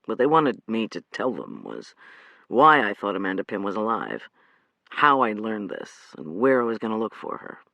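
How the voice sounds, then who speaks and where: A tough man speaks with dry understatement in a lively voice, as read by an audiobook narrator. The recording is close and dry. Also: mid-range voice, normal speed, vocal fry, no room sound, highs cut off, pitched below the performer's own voice, heavily acted. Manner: dry, wry.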